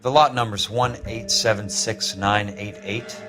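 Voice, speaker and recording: A man's voice: fairly low pitch, fairly even intonation, unhurried, bright, forceful tone. An actor playing a blunt, driven male investigator and war veteran in a video game drama, terse and hard-edged. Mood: businesslike. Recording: music under the voice.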